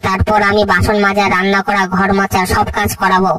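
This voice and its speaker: A nagging, scheming crocodile wife from a cartoon, played by a man, with a high voice that stays flat and barely rises or falls. She sounds sharp-tongued, and the voice comes from a distance with a thin, radio-like tone. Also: brisk. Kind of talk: cartoon voice acting.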